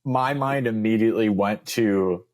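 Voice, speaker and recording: Young man, fairly low voice, loose upbeat podcast chat, lots of fillers. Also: unhurried. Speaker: podcast co-host. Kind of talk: casual chat.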